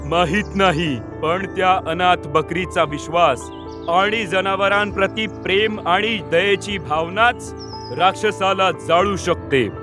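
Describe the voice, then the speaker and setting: A man voicing a grave forest sorcerer in an animated fable, with a solemn, authoritative manner. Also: sits high, slow, over music. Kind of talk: dubbed animated moral fable.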